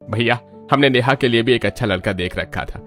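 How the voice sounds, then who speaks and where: A man's voice: medium pitch, fairly quick, a bit bright, faint music behind, close to an everyday voice. A man voicing a pushy, scheming middle-aged uncle in a Hindi cartoon story, insistent and persuasive. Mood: persuasive, indignant.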